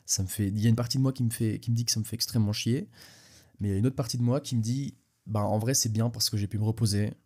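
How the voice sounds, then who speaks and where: A young man with a slightly low voice talks through a head cold, sniffling and congested. He rambles casually, restarting sentences and speaking directly to his listener as a solo podcast host. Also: steady tone, fast talker, a little mellow, breathy edge. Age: young adult.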